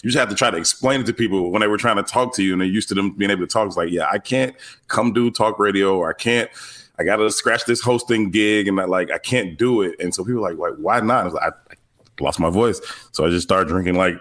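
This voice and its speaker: Deep-voiced man, relaxed storyteller. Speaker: radio and live-event host, podcast guest. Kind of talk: casual podcast chat.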